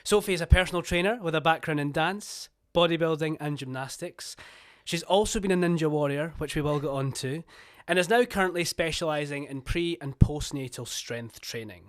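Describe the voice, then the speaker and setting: A man's voice: on the high side, a little fast. Friendly young male podcast host. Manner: friendly, trailing, conversational. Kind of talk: casual podcast interview.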